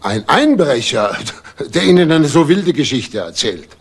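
An actor playing an indignant homeowner in a crime drama, speaking formally and haughtily with a slightly husky voice. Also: male voice, on the high side, sing-song, unhurried, heavily acted. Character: indignant, well-off married male homeowner under questioning. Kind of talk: German TV crime drama acting.

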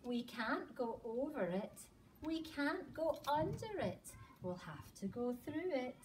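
Slow, very expressive woman reading a storybook. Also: sits high, noisy background. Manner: expressive, drawn-out words, exaggerated delivery. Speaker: children's storyteller, early years educator. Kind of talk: animated picture-book reading for young children. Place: indoor nursery classroom. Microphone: camera or phone a few metres away.

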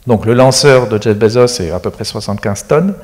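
A man's voice: lowish voice, lively intonation, fairly quick, big-room sound. A middle-aged man speaking as an expert guest in a studio interview, explaining technical matters in a plain, matter-of-fact way into a handheld mic. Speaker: space agency expert guest. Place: studio set with screens.

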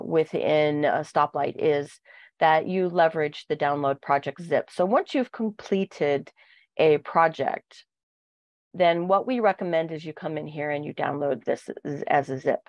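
A woman with a cold, sounding nasal, walking through software on a screen share with plenty of fillers. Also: low voice, no room sound. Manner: steady explaining with frequent fillers. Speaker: product trainer. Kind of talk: software walkthrough.

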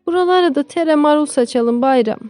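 Storybook peasant wife, played by a woman: concerned, practical, rustic, raised pitch, roomy sound. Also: brisk, dark tone, faint music behind, lightly acted.

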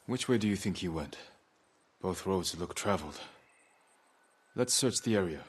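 An actor playing an earnest, composed young samurai lord in a video game, speaking in a calm, measured, solemn way. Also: male voice, low voice, slightly rough. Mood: calm, firm. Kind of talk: dramatic video game acting.

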